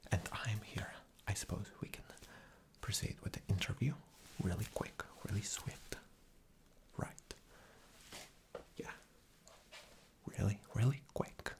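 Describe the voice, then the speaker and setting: A man playing an arrogant, rude boss in a whispered ASMR interview roleplay, breathy, condescending and dismissive. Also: fairly low pitch.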